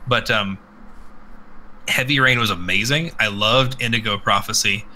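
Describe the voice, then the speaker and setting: A man's voice: on the low side, moderate pace, sharp tone, low-quality recording. A man chatting casually and comedically about video games as a podcast co-host, rambling and crude, often acting out exaggerated reactions.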